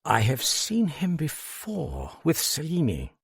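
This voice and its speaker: A calm, wary detective, read by a male narrator, speaking in a measured, matter-of-fact way, a little higher than the narrator's own voice. The sound is dry and close. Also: creaky, husky, dry recording, exaggerated voice.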